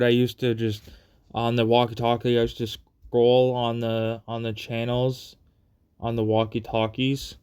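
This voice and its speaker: A man with a clean, smooth voice tells stories casually. His pitch stays flat and fairly narrow as he rambles along, halting and circling back over the same phrases. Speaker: storyteller. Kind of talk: casual personal storytelling.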